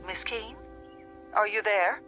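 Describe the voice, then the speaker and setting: A woman's voice: mid-range voice, slow, sharp tone, dull audio, soft background music. An actress playing a firm, matter-of-fact woman from the telephone company in a radio drama. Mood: concerned.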